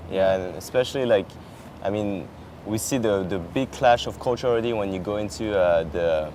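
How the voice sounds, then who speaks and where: A young man, French by birth, talks easily on a campus lawn in a slightly low voice, stumbling through fillers and restarting his sentences. Traffic hums clearly in the background, picked up by a camera set some distance away. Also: normal speed, a little mellow. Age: young adult. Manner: chatty, many fillers and restarts. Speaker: exchange student. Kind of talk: casual chat. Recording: outdoor traffic noise behind.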